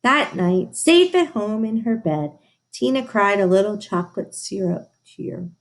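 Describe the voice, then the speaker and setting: A woman reading a children's story aloud in an expressive, emphatic, teacherly way, with asides to her listeners. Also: mid-range voice, unhurried, rough recording. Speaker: storybook reader.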